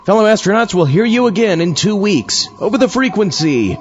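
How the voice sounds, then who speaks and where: A man's voice: high voice, expressive, fairly quick, lo-fi sound, a little hiss or hum. A man hosting a horror podcast with theatrical, hammy comic delivery. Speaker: podcast host. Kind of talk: comic horror-host skit.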